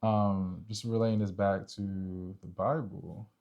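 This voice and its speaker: A man's voice: sits low, flat delivery, slow, highs cut off. A man co-hosting a casual discussion about relationships, talking in an informal, slangy way peppered with filler phrases like "you know". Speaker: podcast co-host.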